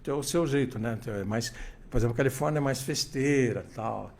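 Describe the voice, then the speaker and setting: A man's voice: mid-range voice, brisk, creaky. An older man, an interview guest telling radio anecdotes with many restarts and quoted dialogue. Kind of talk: casual storytelling interview.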